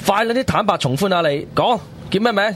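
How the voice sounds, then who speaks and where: A man's voice: high voice, animated, fast, slight background noise. A man playing an impatient, foul-mouthed young guy in a Cantonese radio drama. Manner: pushy, slangy.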